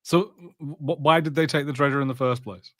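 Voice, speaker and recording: A man's voice: a little high, fairly even intonation, fairly quick, a bit bright, dry recording, studio quality. A man co-hosting a podcast, giving his take in casual chat with a blunt, dismissive, informal manner. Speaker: podcast co-host.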